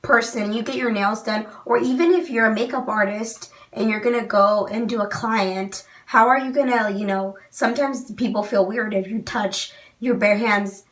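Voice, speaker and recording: A woman's voice: a little high, fairly even intonation, normal speed, few pauses, a bit bright, distant mic. A young woman with a cold and a fading voice, chatting casually through a makeup product review with many "um"s. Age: young adult. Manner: chatty, many "um"s, frank opinions. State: losing her voice from a cold. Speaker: beauty vlogger. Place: small indoor room.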